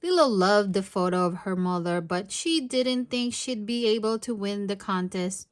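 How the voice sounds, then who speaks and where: A woman's voice: mid-range voice, expressive, moderate pace. A woman hosting a children's read-aloud, narrating expressively and addressing young listeners directly. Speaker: storytime host and narrator.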